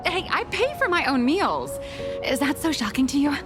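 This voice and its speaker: A woman's voice: sits high, sing-song, normal speed, music in the background. A woman voicing a glib, theatrical young trickster in a video game, playful and dramatic in her delivery. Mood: whiny, defensive.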